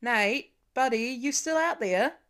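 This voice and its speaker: A woman's voice: on the high side, expressive, slow pace, a bit bright. Woman voicing a protective, wry, anxious dad. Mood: frantic. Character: protective middle-aged father, gruff then frantic with worry.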